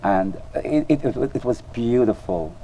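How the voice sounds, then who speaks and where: A man's voice: medium pitch, expressive, measured pace, warm tone, faint music behind, rough recording. An older man with a Guyanese accent, a storyteller and clown giving earnest interview answers, often repeating words as he searches for them.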